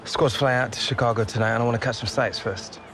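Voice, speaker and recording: A man's voice: medium pitch, fairly even intonation, quick pace, close to an everyday voice. An actor playing a guarded, cocky young male footballer, casual and teasing, in a video game drama. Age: young adult. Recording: loud music over the dialogue.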